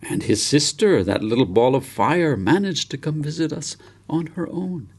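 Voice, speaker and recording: A man narrating as an ancient, wise village elder in a novel, with a gravelly voice that lifts above a low register. He is solemn yet hopeful, delivering counsel in deliberate, oratorical phrases. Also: animated.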